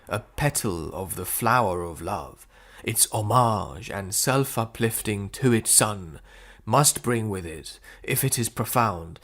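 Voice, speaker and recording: A man reading spiritual prose as an audiobook narrator, phrasing it deliberately with frequent pauses. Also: fairly low pitch, a bit bright. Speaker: audiobook reader.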